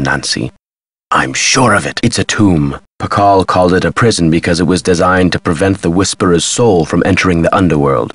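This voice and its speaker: Agitated eccentric scholar, video game, low husky voice, theatrical emphasis, dry close recording. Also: male voice, dry recording, full character voice. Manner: theatrical, emphatic, swinging delivery. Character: eccentric, agitated male amnesiac Maya scholar.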